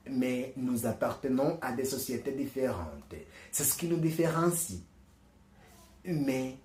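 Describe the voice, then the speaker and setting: A man giving a slow, deliberate reflective monologue, earnest and persuasive, pausing to search for words. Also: mid-range voice, a little mellow, echoey room. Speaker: commentator on religion and culture.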